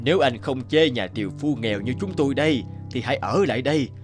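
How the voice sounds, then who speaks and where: A man playing a kindly, humble older woodcutter in a cartoon folk tale, gentle and plain-spoken. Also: medium pitch, lively intonation, quick pace, over music, close to an everyday voice. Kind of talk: animated folk-tale dubbing.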